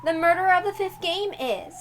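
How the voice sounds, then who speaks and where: Very high, bossy girl detective. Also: brisk, background noise. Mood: accusing. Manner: theatrical, imperious, shrill. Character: bossy, gleeful young girl detective making a grand accusation. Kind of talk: animated visual novel character reading.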